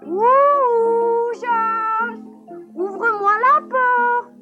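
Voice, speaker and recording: A woman's voice: very high-pitched, steady tone, very slow, narrow, tinny audio, exaggerated voice. A woman voicing a stern, proper older wife in a French cartoon dub, scolding with prim exclamations. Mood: impatient. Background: cartoon music score.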